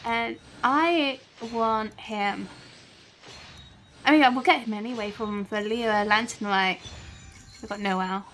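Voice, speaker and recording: A woman chatting casually on a game livestream, excitable and giggly, half talking to herself. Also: on the high side, slow, long pauses, a little hiss or hum. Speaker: game streamer. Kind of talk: casual livestream chat while playing a video game.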